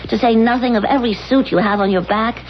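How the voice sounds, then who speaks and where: An actress playing a nagging, exasperated middle-aged wife, scolding emphatically in a radio comedy-drama. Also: female voice, a little high, fast, lightly acted. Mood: complaining. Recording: dull, noisy old radio recording.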